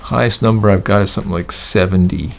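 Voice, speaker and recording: A man in a casual, halting chat about old records, as a guest record collector. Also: sits low, vocal fry.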